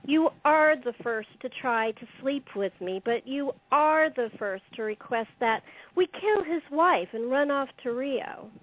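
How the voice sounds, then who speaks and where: An actress plays a cool, taunting female robber in an audio drama. Her voice is high and she takes her time, needling and daring. The sound is dull and lo-fi. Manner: mocking, challenging. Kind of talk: radio-play style acting. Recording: dull, muffled lo-fi line.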